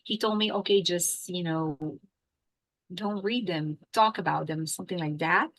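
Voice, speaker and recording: A woman from Israel with an Israeli accent, an English teacher chatting as a guest in an interview, animated and full of fillers and restarts. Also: on the low side, moderate pace, poor audio. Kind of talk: casual interview chat.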